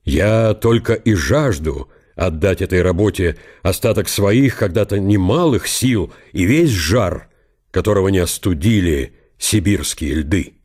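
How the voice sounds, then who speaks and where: A man's voice: sits low, expressive, a little slow, darkish tone, husky, full character voice. A man playing a proud old count in a radio play, speaking in an oratorical, declamatory manner. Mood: solemn, ardent. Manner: oratorical, grandiloquent. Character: proud, eloquent old count and statesman back from exile.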